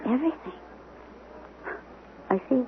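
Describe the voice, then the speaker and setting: A woman playing a teasing wife, radio play. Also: close to an everyday voice. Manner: teasing, quick, observant. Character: sharp, teasing wife of a writer. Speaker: supporting lead. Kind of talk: 1970s radio drama acting. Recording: tinny lo-fi old radio broadcast with faint hiss.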